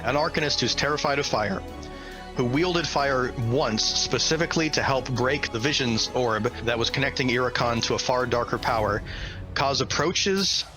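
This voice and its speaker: A man's voice: mid-range voice, normal speed, music in the background, low-quality recording. A man narrating a tabletop fantasy game as game master, enthusiastic and theatrical.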